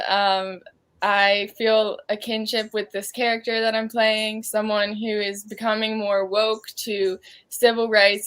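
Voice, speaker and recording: A young adult woman gives a casual interview answer. Her pitch is medium and stays very level, with little rise or fall as she talks. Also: fairly bright tone. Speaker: stage actor, interview guest.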